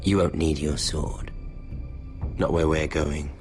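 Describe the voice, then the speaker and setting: A man's voice: extremely low pitch, steady tone, slow, darkish tone, faint music behind. An actor playing a sadistic young nobleman villain in a video game drama, drawling with mock friendliness and theatrical menace. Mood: smug, mocking. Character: sadistic, mocking young nobleman villain who toys with victims.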